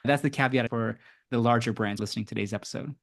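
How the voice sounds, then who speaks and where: A man hosting a business podcast, asking questions and adding brisk comments. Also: mid-range voice, vocal fry. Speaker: podcast host. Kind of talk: podcast interview.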